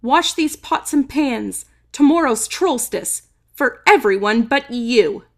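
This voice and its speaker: A woman's voice: on the high side, expressive, slow pace. A woman voicing a mean, scheming female monster chef in a children's storybook, commanding and scornful. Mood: harsh, commanding.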